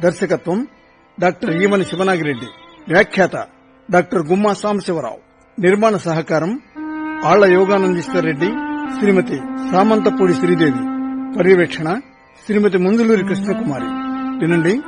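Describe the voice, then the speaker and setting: A man's voice: high voice, brisk, pauses often. A man making a formal, stately station announcement. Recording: radio announcement over music.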